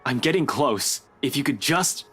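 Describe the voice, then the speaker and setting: A man's voice: medium pitch, animated, normal speed, fairly bright tone, husky, soft background music. An actor playing a hot-headed young male police detective in a game, defiant and indignant. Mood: angry, defensive. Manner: indignant, pleading. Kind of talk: visual novel voice acting.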